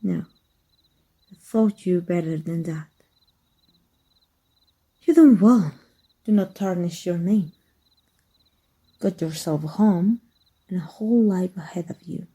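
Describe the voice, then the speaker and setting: A woman acts out an exiled heretic magic teacher, wry yet fond of a former student. The voice is very mellow and dark, husky and breathy, on the low side. The delivery is slow, soft and intimate, in the manner of an ASMR roleplay. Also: heavily acted. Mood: fond, regretful. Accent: Mexican.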